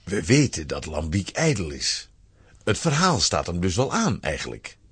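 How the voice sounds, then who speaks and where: A man narrating a scripted children's audio play as an expressive storyteller. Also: low-pitched, normal speed, husky.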